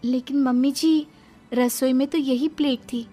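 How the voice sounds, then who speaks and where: A woman's voice: sits high, fairly even intonation, unhurried, dark tone, voice pushed up. A woman voicing a naive, sweet-natured young daughter-in-law in a cartoon moral story, innocently polite as she explains herself. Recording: music under the voice.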